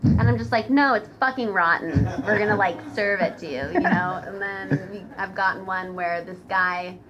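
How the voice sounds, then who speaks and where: A woman's voice: medium pitch, moderate pace, dull audio. A woman guest telling anecdotes in casual, sweary, sardonic chat. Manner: sweary, sardonic, hesitant phrasing with frequent "like". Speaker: podcast guest. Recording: crowd chatter behind voice.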